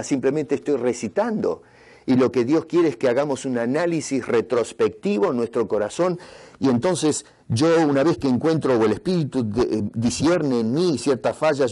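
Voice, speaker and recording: An Argentine man preaches to his congregation in a voice of ordinary middle pitch. He talks in an earnest way and keeps turning to the listeners with rhetorical questions. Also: darkish tone. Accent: Argentine Spanish. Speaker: pastor. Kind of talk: sermon. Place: church retreat in Canada.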